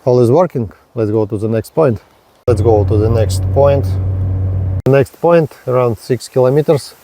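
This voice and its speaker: A man narrating a hobby field test vlog step by step in halting, short, repetitive phrases. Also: medium pitch, background noise. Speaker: radio hobbyist presenting a range test.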